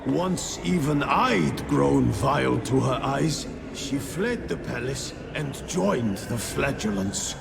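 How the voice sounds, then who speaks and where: An actor playing the remorseful ghost of a peasant-born squire, mournful and halting, in a video game. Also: male voice, mid-range voice, expressive, vocal fry, music in the background, exaggerated voice. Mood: sorrowful. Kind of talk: dramatic video game acting.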